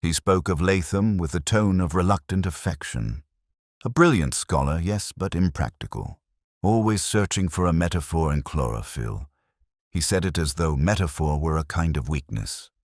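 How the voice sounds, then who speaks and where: A man reading a scripted first-person detective story as narrator, in a measured, melancholy, literary manner. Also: low-pitched, animated, a little mellow, no room sound, clean studio sound.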